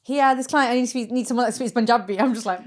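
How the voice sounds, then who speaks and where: A young woman raised in the UK hosting a podcast interview, chatty and quick to laugh, thinking aloud with everyday comparisons. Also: fairly high pitch. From United Kingdom. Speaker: podcast host. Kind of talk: casual interview chat. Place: podcast studio.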